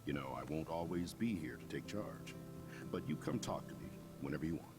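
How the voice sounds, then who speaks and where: Game role: middle-aged male principal, very low voice, avuncular and dry, music underneath. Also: expressive, brisk, gravelly, full character voice. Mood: hearty, encouraging. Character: middle-aged male school principal, grumbling but encouraging, self-important. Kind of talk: video game voice acting.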